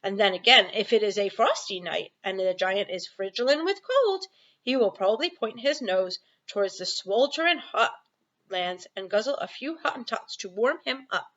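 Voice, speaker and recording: A woman's voice: mid-range voice, animated, moderate pace, a bit bright, highs cut off, close to an everyday voice. A woman voicing a friendly, boastful storybook giant who talks in mangled grammar and invented comic words. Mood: knowing, gleeful.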